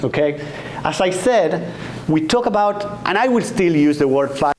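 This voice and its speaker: A doctor in his forties with an Argentinian accent lectures patients in a conference room, speaking into a handheld microphone. His delivery is relaxed and chatty, full of "okay?" and "right?" asides. A faint hum of the room sits behind him. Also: fairly high pitch, moderate pace, low-quality recording. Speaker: doctor giving a health talk. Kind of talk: informal patient education lecture.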